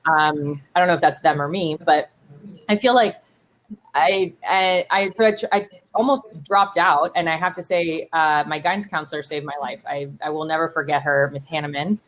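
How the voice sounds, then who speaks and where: A woman in her thirties from Miami whose first language is Spanish, telling her story in a casual interview with lots of fillers and animated asides. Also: low-pitched, moderate pace, low-quality recording. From Miami, Florida. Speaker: startup CEO and keynote guest. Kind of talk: conversational interview.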